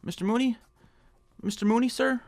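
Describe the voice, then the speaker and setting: A man's voice: extremely high pitch, brisk, no room sound. An actor playing a meek, hapless, flustered man in a radio drama, speaking hesitantly with stammers. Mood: shocked, flustered.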